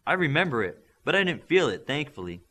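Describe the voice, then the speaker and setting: A man reading an audiobook, voicing a relaxed and familiar young man. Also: fairly high pitch, a little fast, pitched above the performer's own voice.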